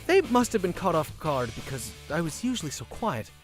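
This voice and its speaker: Manga dub lead: man voices a shy, earnest high school geek with a lively pitch range, over light music. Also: fairly quick. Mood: glum, reflective. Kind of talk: manga dub narration and dialogue.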